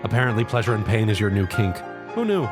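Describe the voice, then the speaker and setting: Animated male story narrator. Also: fairly low pitch, fast, music in the background. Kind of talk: animated second-person comedic story narration.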